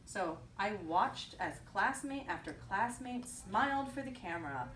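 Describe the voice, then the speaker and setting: A woman's voice: medium pitch, lively intonation, unhurried, fairly bright tone. Woman reading aloud in an echoey room. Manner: prompting, repeats children's answers back. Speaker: teacher reading aloud to young children. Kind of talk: picture-book read-aloud with questions to children. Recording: roomy echo, distant mic, faint noise.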